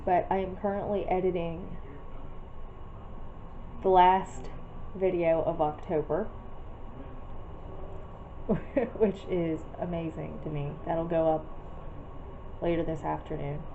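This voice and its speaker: A woman's voice: fairly low pitch, steady tone, slow, pauses often. A woman in her thirties, fighting off a cold, chatting and rambling casually while she films her day at home and outside. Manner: chatty, rambling, laughs often. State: congested from a cold. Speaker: vlogger. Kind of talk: casual vlog chat. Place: at home, then outside by the front door. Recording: dull lo-fi camera audio with background noise.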